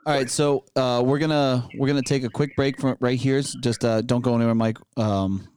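A man's voice: medium pitch, steady tone, fairly quick, darkish tone, slight background noise. A man hosting a casual panel chat, blunt and prone to swearing. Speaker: show host.

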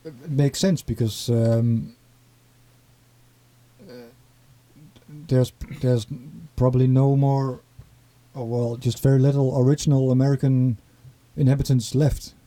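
A man's voice: mid-range voice, steady tone, warm tone. A man from the east of the Netherlands with a Dutch accent, talking hesitantly as a guest in casual conversation. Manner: hesitant, halting with many fillers.

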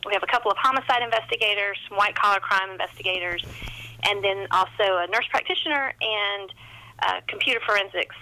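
Bright-voiced woman, chatty interview guest. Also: medium pitch, fast, poor audio. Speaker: author, podcast guest. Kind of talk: casual interview conversation.

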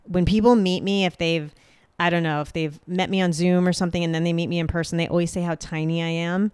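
A woman hosting a solo podcast, speaking directly and clearly with a booming voice. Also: fairly even intonation, fast, darkish tone, dry recording. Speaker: podcast host.

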